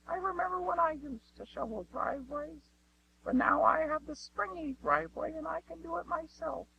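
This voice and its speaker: Rambling elderly granny, comic ad testimonial; muffled, distant sound. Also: female voice, high voice, moderate pace, gravelly, bright, forceful tone, exaggerated voice. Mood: rambling, befuddled. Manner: rambling, forgetful. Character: frail, rambling old granny giving a testimonial. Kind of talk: comic parody advert testimonial. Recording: muffled, distant, noisy radio-style recording.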